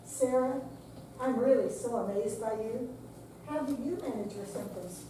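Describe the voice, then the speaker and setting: A woman's voice: fairly high pitch, unhurried, natural voice. An older woman in a staged reading, playing a curious, supportive friend with an emphatic, inquisitive way of speaking. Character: curious, supportive female friend asking blunt questions. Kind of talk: scripted dramatic reading. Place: senior center room, live performance. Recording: echoey room, distant mic, clear background noise.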